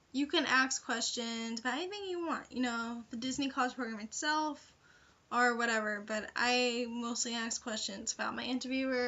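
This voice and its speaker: Bright-voiced young woman, muffled lo-fi recording. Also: a little high. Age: young adult. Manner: informal, hedging, frequent "like" and "you know". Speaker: vlogger giving interview tips. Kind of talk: casual chatty advice vlog.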